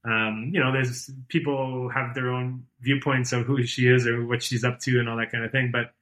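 Man, thirties, podcast guest, medium pitch, rambling casual talk, lots of fillers. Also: level tone, normal speed, a bit bright. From born and raised outside Iran. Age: 30s. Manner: rambling, many fillers and restarts. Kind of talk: casual conversational interview.

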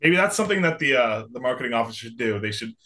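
A young man co-hosting a podcast, chatting casually and acting out quotes in an animated way. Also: mid-range voice, quick pace. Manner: animated storyteller, acts out quotes. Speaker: podcast co-host. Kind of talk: casual chat.

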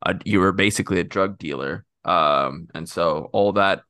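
A man's voice: low voice, normal speed, a bit bright, clean tone, no echo, studio quality. A young man from Texas co-hosting a podcast, chatting casually in rambling, self-interrupting talk with jokes. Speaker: podcast co-host.

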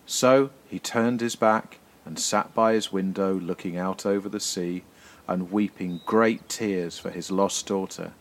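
A man narrates a fairy tale. He reads in a measured, literary storybook style. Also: deep voice, steady tone. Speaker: guest story reader, poet.

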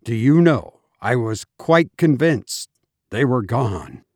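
A shaken husband from a horror storybook, acted by a male reader, in a mid-pitched voice. He sounds overwrought and defensive, as if insisting on what he has seen. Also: big pitch swings, slow pace, darkish tone, no room sound, clean studio sound, full character voice. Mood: relieved, sheepish. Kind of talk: dramatised storybook reading.

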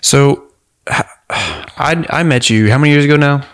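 A young man from California hosting a podcast, asking questions in a relaxed, easygoing chat. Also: medium pitch, moderate pace. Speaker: podcast host. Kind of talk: casual interview chat.

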